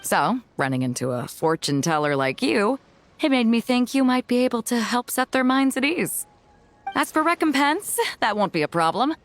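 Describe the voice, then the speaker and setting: An easygoing, proud woman captain, talking with swinging, expressive pitch. She sounds hearty and sure of herself in a conversational way, over light background music. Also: moderate pace. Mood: friendly, persuasive. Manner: breezy, confident, conversational with chuckles. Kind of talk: video game voice acting. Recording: music bed under the voice.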